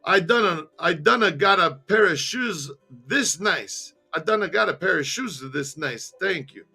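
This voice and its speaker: A man acts out a joyful Italian man from a true-crime storybook, with a thick accent and broken English. His voice is bright and lifted in pitch, full of gratitude, over music. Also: lively intonation, unhurried, breathy edge, heavily acted. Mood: joyful, grateful. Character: cheerful grateful Italian man, a mobster acquaintance.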